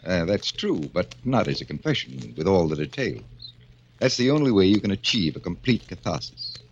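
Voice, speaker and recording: An actor playing a calm, clever middle-aged psychiatrist in a 1940s radio drama, measured and soothing. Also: male voice, low-pitched. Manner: measured and soothing, slightly formal. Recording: dull old radio broadcast with faint hiss.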